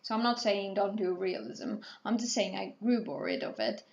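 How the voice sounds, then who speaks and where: A woman's voice: mid-range voice, moderate pace, creaky, lo-fi sound. A woman in her twenties, raised in Spain and not a native English speaker, rambling casually with many ums and self-corrections while she talks through her painting. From Portugal, raised in Spain. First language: Spanish or Portuguese. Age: 20s. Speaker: artist, channel host. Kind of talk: casual talkthrough over painting.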